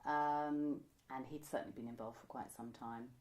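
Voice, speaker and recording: A woman's voice: very deep voice, steady tone, moderate pace, a little mellow. A woman, reminiscing conversationally as an interviewee. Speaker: interviewee, pantomime costume maker. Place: indoors, room by a window.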